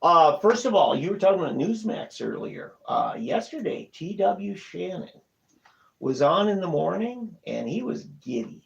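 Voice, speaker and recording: A man with a high, gravelly voice and very lively pitch chats about politics as a co-host. He speaks emphatically and readily chimes in to agree. The recording is a little muffled. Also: moderate pace. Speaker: political talk show co-host. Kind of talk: casual political chat. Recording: muffled lo-fi audio.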